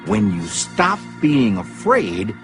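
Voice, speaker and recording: Animated, upbeat cartoon adventurer, swooping pitch. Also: male voice, slow, faint music behind. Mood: reflective, hopeful. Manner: animated, expressive, encouraging. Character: upbeat, adventurous little cartoon character coaxing his timid friend. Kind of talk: cartoon character acting.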